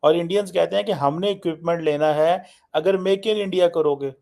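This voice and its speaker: A man's voice: a little high, brisk, gravelly, warm tone. A man giving informal political commentary, blunt and repetitive, slipping into English phrases.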